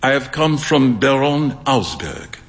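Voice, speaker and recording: An actor playing a courteous old gentleman messenger with a slight French accent, speaking formally. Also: medium pitch, animated, slow. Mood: polite. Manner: formal, polite. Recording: long stage reverb in a hall.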